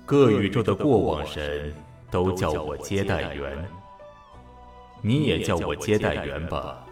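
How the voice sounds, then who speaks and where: A man's voice: very deep voice, expressive, takes it slowly, darkish tone, exaggerated voice. A man playing a mysterious, serene, hospitable bartender to the gods in an audio drama, with a leisurely drawl. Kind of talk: Mandarin audio drama acting. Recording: echoey, distant voice over music.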